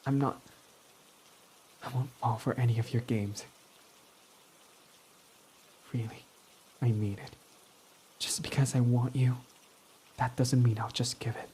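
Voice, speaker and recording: Male performer as a young tsundere villain, gruff but smitten, mellow tone, middle pitch. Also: steady tone, a little slow, long pauses, a little hiss or hum, lightly acted. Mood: flustered, teasing. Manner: defensive, swears when flustered. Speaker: ASMR roleplay performer. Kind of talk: ASMR roleplay.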